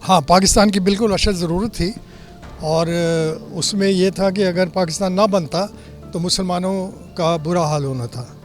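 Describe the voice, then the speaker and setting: A man in his eighties, born in Delhi and living in Lahore, recalls his childhood migration as an interviewee, telling it in an unhurried way with plain homely detail. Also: sits high, faint music behind. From born in Delhi, lives in Lahore. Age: 80s. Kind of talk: reminiscing eyewitness account.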